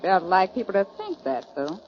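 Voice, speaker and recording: A woman's voice: mid-range voice, wildly swooping pitch, normal speed. An actress playing a catty, scheming female rodeo rival, sly and insinuating in 1940s radio style. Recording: dull, muffled 1940s radio recording.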